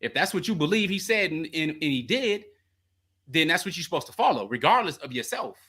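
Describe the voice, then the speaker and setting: A man hosting a call-in advice show as a coach, talking in an animated, slangy storytelling way. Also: a little high, fairly quick, slightly rough.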